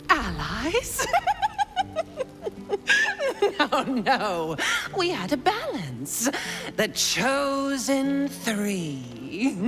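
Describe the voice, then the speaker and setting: A woman's voice: on the high side, wildly swooping pitch, very slow, long pauses, a bit bright, soft background music, exaggerated voice. An actress playing a gleefully bloodthirsty shapeshifting assassin cultist in a video game, theatrical and taunting, savoring her words and slipping into whispers. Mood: mocking, agitated. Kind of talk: dramatic video game villain acting.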